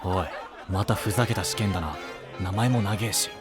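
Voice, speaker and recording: An actor playing a brash, sceptical young would-be knight with a blunt, rough manner in an anime voice drama. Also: male voice, deep voice, normal speed, over loud music, over a noisy crowd, close to an everyday voice. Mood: sceptical, competitive.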